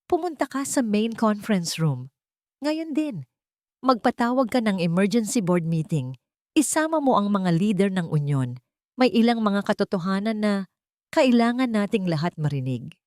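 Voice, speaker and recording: A woman's voice: medium pitch, sing-song, normal speed, darkish tone, no room sound, clean studio sound, close to an everyday voice. A woman voicing a grieving, commanding male tycoon in an audio drama, controlled and imperious. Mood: icily calm. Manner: controlled, imperious, clipped orders.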